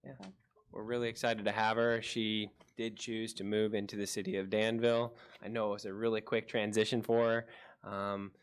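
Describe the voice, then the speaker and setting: A man's voice: fairly low pitch, level tone, normal speed, clear, resonant tone. A man from city staff answering council questions in a casual, upbeat way with a few fillers. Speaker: city department staff head.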